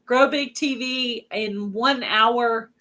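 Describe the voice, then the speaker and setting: A woman's voice: a little high, takes it slowly, bright, forceful tone. A woman hosting a casual livestream chat, talking in a chatty way with lots of filler words. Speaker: host.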